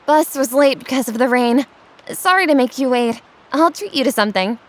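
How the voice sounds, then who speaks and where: High-pitched, friendly young woman played by an actress. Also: animated, normal speed. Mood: warm, teasing. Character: radiant, friendly, teasing young woman, old childhood friend. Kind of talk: manga dub dialogue.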